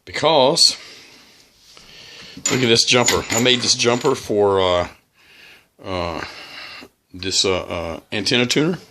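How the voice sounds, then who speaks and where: A man giving a casual hands-on demonstration as a radio hobbyist, talking in a halting, plain-spoken, cautionary way. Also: on the low side, expressive, noisy background. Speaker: amateur radio hobbyist presenting a how-to video.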